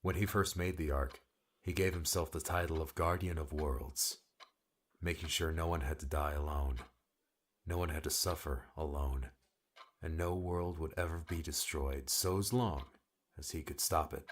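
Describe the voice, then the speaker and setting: Casting: male actor as cold, weary guardian for audiobook drama, extremely low voice, terse and dismissive. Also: fairly even intonation, normal speed. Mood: guarded, matter-of-fact. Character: curt, aloof male guardian, a weary loner.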